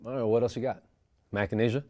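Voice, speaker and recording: A man's voice: medium pitch, steady tone, fast talker, dark tone, lightly acted. An actor playing a brash, bossy man in his forties who keeps coughing, at a table read of a screenplay. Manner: gruff, curt, demanding.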